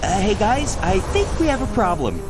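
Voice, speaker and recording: Very animated young gnome inventor. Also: male voice, sits high, normal speed, poor audio. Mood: anxious. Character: eager, clumsy young male cartoon gnome inventor. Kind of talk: cartoon video game acting. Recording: music under the voice.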